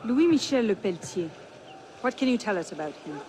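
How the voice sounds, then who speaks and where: An actress playing a bold, sharp-witted young woman assassin, crisp and teasing. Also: medium pitch, sing-song, fairly quick, noisy background, natural voice.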